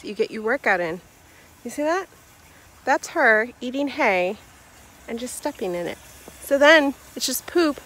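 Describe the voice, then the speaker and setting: A young woman chatting casually as she vlogs on a farm, recorded outdoors on a handheld phone. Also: a little high. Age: young adult. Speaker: homestead vlogger. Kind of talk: casual vlog chat. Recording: noisy outdoor phone audio.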